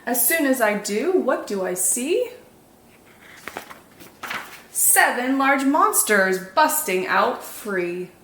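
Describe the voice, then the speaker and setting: A woman hosting storytime, reading picture books in an expressive, animated way for young children. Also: on the high side, slow, pauses often, reverberant, a little hiss or hum. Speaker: children's storytime host and reader. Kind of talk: expressive picture-book narration.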